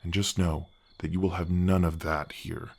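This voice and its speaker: Very deep, gentle gargoyle played by a man. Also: flat delivery, moderate pace. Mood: reassuring, proud. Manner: earnest, courteous, slightly bashful, hesitant phrasing. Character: big gentle helpful gargoyle guardian, kindly oaf. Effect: deep-voice pitch effect. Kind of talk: ASMR fantasy roleplay, soft conversational acting.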